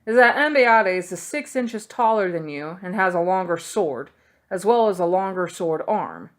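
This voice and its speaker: A woman's voice: lowish voice, animated, moderate pace, natural voice. Woman as a cocky, sardonic young thief. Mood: dry, mocking. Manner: dry, needling. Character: cocky, sardonic young male thief, defiant and quick-witted.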